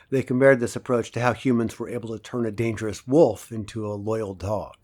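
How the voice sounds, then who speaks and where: An actor playing a philosophical male professor lecturing a class in an audio drama, deliberate and rhetorical, with emphatic pauses. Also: lowish voice, fairly even intonation, darkish tone, lightly acted. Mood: wry.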